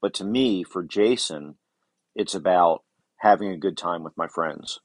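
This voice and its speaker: Very deep-voiced man, casual caller. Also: measured pace, no echo. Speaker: podcast listener calling in.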